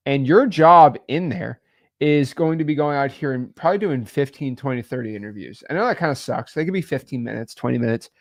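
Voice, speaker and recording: A man's voice: mid-range voice, fairly quick. A young man co-hosting a podcast, giving casual business advice in a rambling way with many fillers. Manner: rambling, many fillers, animated swings in loudness. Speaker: podcast co-host.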